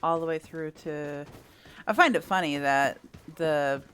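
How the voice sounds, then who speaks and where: A woman's voice: low voice, unhurried, fairly bright tone, clean tone, faint music behind. A woman doing casual let's play commentary, thinking aloud in short musing bursts. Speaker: gaming video creator.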